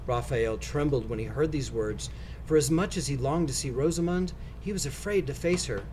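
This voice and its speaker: A man reading a children's storybook aloud, narrating with expressive, deliberate phrasing. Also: mid-range voice, slight background noise, poor audio. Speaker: storybook reader for schoolchildren.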